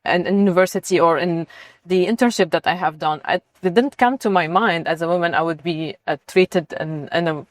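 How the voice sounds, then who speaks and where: Low-voiced woman from Lebanon, dry close sound. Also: moderate pace, dry recording. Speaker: interview guest, robotics and AI researcher. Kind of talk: conversational interview answers.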